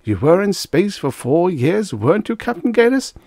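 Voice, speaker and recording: A man's voice: mid-range voice, big pitch swings, normal speed, darkish tone, no room sound, clean studio sound, voice pushed up. A man voicing an effusive, pompous mayor who gushes as if making a grand speech. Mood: gushing, grandiose. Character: effusive, pompous small-city mayor, a man, jovially disbelieving.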